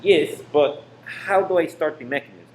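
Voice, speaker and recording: A man's voice: fairly high pitch, normal speed, a little mellow, close to an everyday voice. A man acting an uneasy, guarded saboteur in a radio play, with hesitant politeness. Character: uneasy, guarded cinema owner and secret saboteur. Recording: echoey room with faint noise.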